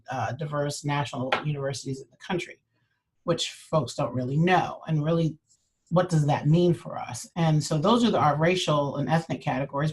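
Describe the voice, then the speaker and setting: A woman giving a webinar presentation as a university administrator, earnest and measured, with a cold and frequent fillers. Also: low-pitched, poor audio. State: has a cold.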